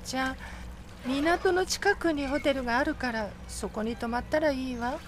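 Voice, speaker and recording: A woman's voice: sits high, normal speed, a little hiss or hum, natural voice. A woman playing a kind, bereaved young mother in a radio drama, with tender, feminine, motherly phrasing. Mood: kind, wistful.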